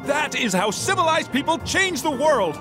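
Game role: idealistic middle manager, high, lively delivery, formal and affronted, loud score behind. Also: male voice, moderate pace, over music. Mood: indignant, earnest. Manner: earnest, formal, declamatory. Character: prim, indignant, idealistic male corporate middle manager. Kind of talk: video game voice acting.

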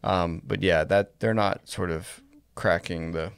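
A man in his thirties co-hosting a casual podcast, speaking in a measured, tentative way close to a studio microphone. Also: sits low, steady tone, vocal fry, smooth, no echo. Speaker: podcast co-host. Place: small indoor podcast studio. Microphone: close boom-arm studio microphone.

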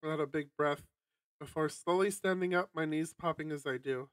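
A man's voice: on the high side, normal speed, no room sound, distant mic. A man streaming a visual novel, reading its narration aloud in a dramatic storytelling style.

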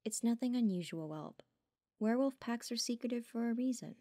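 A woman's voice: fairly high pitch, lively intonation, a little fast, mellow, no echo, studio quality. A woman playing a friendly, candid female werewolf packmate in an audio drama. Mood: gently explaining.

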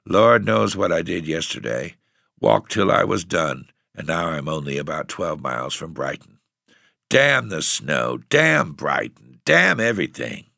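A weary gentleman tramp, as a male narrator voices him, grim and bitter. He has a deep, gravelly sound with a bright edge. The recording is dry and close. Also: expressive, normal speed, dry recording, exaggerated voice.

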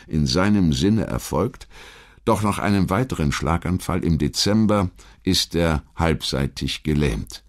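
A man reading scripted historical narration in a measured, articulate and formal manner. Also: deep voice. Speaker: documentary narrator.

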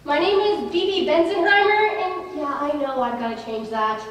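A woman's voice: high voice, moderate pace, slight background noise, close to an everyday voice. A woman playing a showy, bubbly young dancer in a stage musical. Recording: echoey theatre, far from mic.

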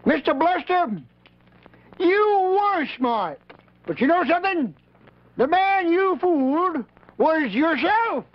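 A man's voice: extremely high pitch, very animated, takes it slowly, long pauses, heavily acted. A man playing a folksy, self-important Old West sheriff in a 1950s children's television comedy, repeating his words. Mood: solemn, judging. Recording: dull 1950s television studio recording.

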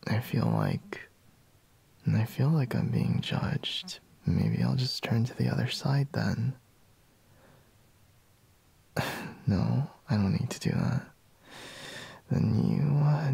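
Man acting as a slow, gravelly, sleepy boyfriend. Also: lowish voice, a little mellow, dry recording, heavily acted. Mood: sulky, tired. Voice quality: breathy, husky, gravelly, half-whispered. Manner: drowsy, drawling, murmuring with sighs. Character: sleepy, grumbling, teasing young boyfriend woken in bed. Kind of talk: ASMR roleplay, intimate. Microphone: close microphone, dry.

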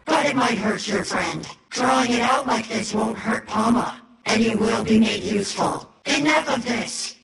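A woman playing an imperious supercomputer villain in a video game, speaking in measured lines with a reverberant machine effect. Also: a little high, animated, creaky, fairly bright tone, a little hiss or hum. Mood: menacing. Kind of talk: dramatic game villain acting. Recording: cavernous echo, distant, music behind.

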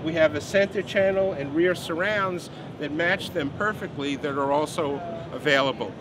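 An enthusiastic man in his sixties talks off the cuff about a product he designed. His voice sits a little high and he stresses his points. He is recorded at an exhibition booth with plenty of noise around him. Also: moderate pace. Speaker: company president, product designer being interviewed. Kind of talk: unscripted product explanation in an interview. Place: busy indoor exhibition booth. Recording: noisy trade show floor.

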